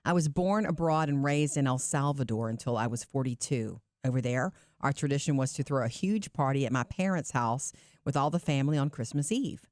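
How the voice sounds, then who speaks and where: Warm, reflective woman podcast co-host. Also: a bass voice, fairly quick, no room sound, clean studio sound. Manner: warm, reflective, laughs easily. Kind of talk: casual chat, reading listener letters aloud.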